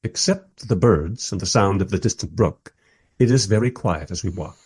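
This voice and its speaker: A man playing a wry documentary maker, narrating a radio play in the first person with expressive, husky delivery. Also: lowish voice, normal speed, dry recording. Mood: observant, wry. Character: wry, enthusiastic documentary maker narrating his historical encounters.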